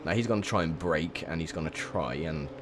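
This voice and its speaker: A young man with a croaky, sore voice, chatting casually through gameplay commentary with lots of ums. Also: a bass voice, brisk, noisy background. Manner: chatty, rambling with many ums. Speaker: gaming video host.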